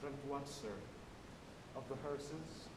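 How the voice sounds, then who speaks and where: A man's voice: mid-range voice, little pitch movement, takes it slowly, natural voice. A man on stage playing an attentive shipmate who serves his captain. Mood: hushed, dutiful. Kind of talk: theatrical stage acting. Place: college theatre stage. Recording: echoey, noisy stage recording, quiet voice.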